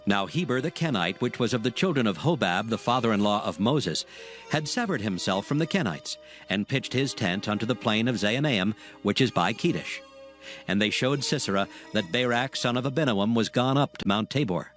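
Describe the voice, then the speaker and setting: A man's voice: lowish voice, lively intonation, normal speed, a bit bright, soft background music. A man narrating dramatized scripture as a solemn storyteller, reading scripted text.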